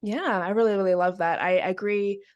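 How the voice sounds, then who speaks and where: A woman hosting a casual interview, her voice a little raspy as she gets over a cold. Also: medium pitch, steady tone, moderate pace, lo-fi sound. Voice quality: slightly raspy. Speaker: interview host.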